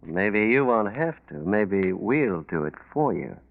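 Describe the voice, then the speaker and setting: A small-time racketeer in a 1940s radio crime drama. He is sly and sure of himself, talking in glib street slang with a lively, swinging pitch. The sound is dull and lo-fi. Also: unhurried, gravelly, heavily acted. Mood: smooth, coaxing. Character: slick small-time crook, a sly, cocky male racketeer. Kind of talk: 1940s radio mystery acting. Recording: muffled lo-fi 1940s radio recording.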